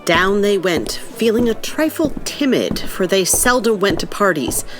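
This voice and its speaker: A woman narrator reading scripted storybook narration for an audio play in a measured storytelling manner. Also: medium pitch, big pitch swings, soft background music.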